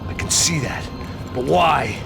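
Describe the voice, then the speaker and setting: An actor playing a burnt-out, sarcastic American vigilante with an American accent in a game cutscene, sardonic and profane. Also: male voice, medium pitch, lively intonation, a little slow, vocal fry. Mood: sardonic, weary. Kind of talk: cinematic game cutscene acting. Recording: music behind the voice.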